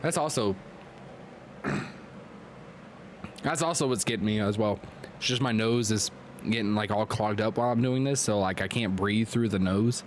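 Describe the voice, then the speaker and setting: A man hosting a live stream and excitedly calling play-by-play sports commentary while losing his voice from a clogged nose. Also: on the low side, a little hiss or hum. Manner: excitable, hyped play-by-play with quick asides to chat. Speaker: league commentator and stream host.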